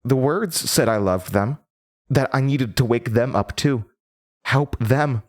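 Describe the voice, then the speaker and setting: Man acting a slow, haunted horror storyteller. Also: medium pitch, natural voice. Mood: pained, longing. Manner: halting, theatrical, with nervous laughter and drops into whispers. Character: disturbed, haunted storyteller recounting a horrifying childhood. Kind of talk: dramatic horror audio drama monologue. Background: prominent music.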